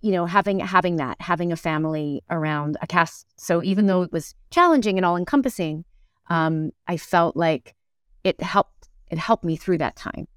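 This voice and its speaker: A middle-aged woman chatting reflectively as an interview guest, animated and thinking aloud, often doubling back on her words. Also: lowish voice, moderate pace, clear, resonant tone, dry recording, studio quality. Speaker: interview guest, musical theatre actor. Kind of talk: casual reflective conversation.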